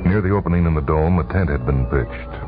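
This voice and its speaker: A man narrating a literary story for radio with dramatic, deliberate phrasing. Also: extremely low pitch. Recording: tinny vintage radio broadcast, music behind. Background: music.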